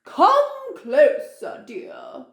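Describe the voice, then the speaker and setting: A woman's voice: extremely high pitch, huge pitch swings, extremely slow, a bit bright, reverberant, pitched above the performer's own voice, exaggerated voice. A woman voicing a sly male big bad wolf in a bedtime story, coaxing and growling with false sweetness. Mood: menacing.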